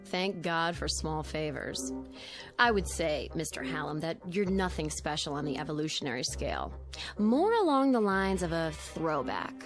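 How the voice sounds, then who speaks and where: A cool, educated woman in a radio drama. Her voice is fairly low and her speech articulate, dry and resigned, with a cutting edge. Music runs faintly underneath. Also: lively intonation, normal speed. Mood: scornful. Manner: articulate, dryly disdainful.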